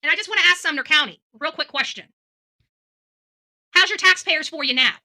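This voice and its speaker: Blunt woman with a sharp, bright voice. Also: high voice, brisk. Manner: blunt, accusatory. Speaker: host of a true-crime commentary vlog. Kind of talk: unscripted opinion commentary.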